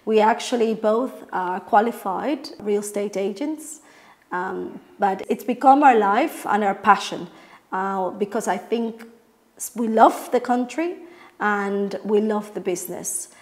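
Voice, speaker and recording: A woman's voice: mid-range voice, a little slow. Middle-aged Colombian woman explaining her business. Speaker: real estate agent, family business owner. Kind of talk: promotional company talk to camera. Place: living room, on a sofa indoors.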